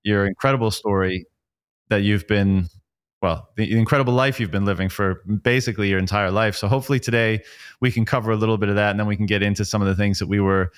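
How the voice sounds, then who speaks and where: A man's voice: lowish voice, fairly even intonation, fast, smooth. A man hosting a podcast, reading a prepared intro and then chatting politely with his guest, with some fillers. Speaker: podcast host. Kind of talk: scripted intro then casual interview.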